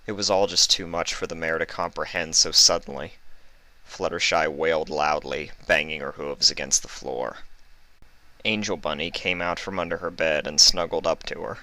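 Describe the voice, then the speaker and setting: A man narrates a written story in a low-pitched, bright voice. The recording is close and dry. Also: steady tone, normal speed, dry recording, poor audio. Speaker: fan fiction reader.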